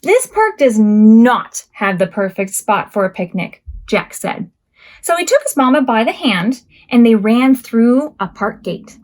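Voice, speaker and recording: A woman hosting a preschool storytime as a children's librarian, reading picture books aloud expressively with drawn-out words. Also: a little high. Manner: expressive, drawn-out words and acted sound effects.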